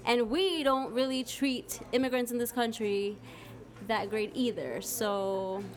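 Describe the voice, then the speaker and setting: Brief: young woman podcast co-host from the Dominican Republic, outspoken and candid, voice on the higher side. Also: slow. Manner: candid, outspoken, swears freely. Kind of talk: casual live podcast chat.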